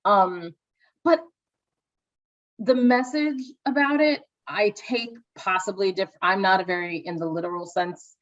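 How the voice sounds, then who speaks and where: A mother co-hosting a podcast in casual chat, talking eagerly and chiming in quickly. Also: mid-range voice. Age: adult with children. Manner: chatty, enthusiastic, quick interjections. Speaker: podcast co-host. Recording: dull lo-fi sound.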